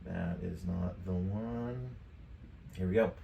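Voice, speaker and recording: A man's voice: sits low, slow pace, creaky, dark tone, a little hiss or hum. A middle-aged American man giving a casual tutorial demonstration. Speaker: tutorial presenter. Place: furnished game room.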